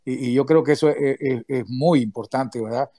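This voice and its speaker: Halting man, dry close sound. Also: mid-range voice, mellow, no room sound. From Nicaragua. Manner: halting, full of restarts and hesitations. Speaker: activist speaker, former political prisoner. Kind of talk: closing remarks in an online audio chat room. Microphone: remote audio call.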